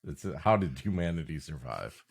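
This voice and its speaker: A man's voice: a bass voice, lively intonation, normal speed, creaky, breathy edge. A man co-hosting a comedy podcast, bantering playfully in casual chat and often laughing.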